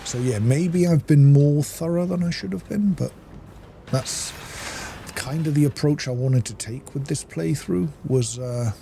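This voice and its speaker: A man's voice: on the high side, measured pace, vocal fry, dark tone, a little hiss or hum. A man giving casual gameplay commentary as a let's-play host, muttering to himself as he plays.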